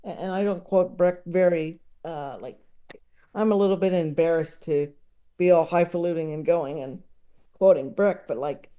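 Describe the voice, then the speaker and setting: A woman chatting casually as a podcast guest, enthusiastic and digressive, often halting as she works out her thought. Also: fairly low pitch, dark tone, clean tone. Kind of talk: casual chat about books and comedy.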